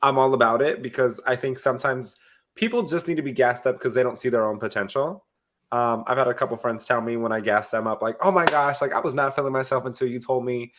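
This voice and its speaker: A man's voice: medium pitch, fast talker, a bit bright. A man co-hosting a casual reality TV recap podcast, stuffed up from a cold, blunt and opinionated in a playful way. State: stuffed-up nose. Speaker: podcast co-host.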